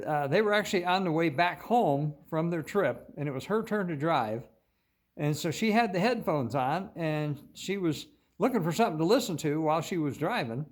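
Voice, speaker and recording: An older American man telling stories casually to viewers in a folksy, meandering way, often pausing mid-sentence. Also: fairly high pitch. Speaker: host of a paranormal viewer-story channel. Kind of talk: casual rambling storytelling to viewers.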